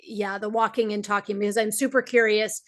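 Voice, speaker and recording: A woman's voice: mid-range voice, steady tone, fairly quick, a bit bright. A woman hosting an interview podcast, enthusiastic and eager, often restarting her sentences. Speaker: podcast host. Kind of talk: casual interview conversation.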